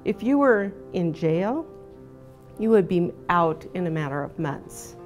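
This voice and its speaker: A woman's voice: on the low side, very animated, measured pace, soft background music. A woman giving her view as a patient in a documentary interview.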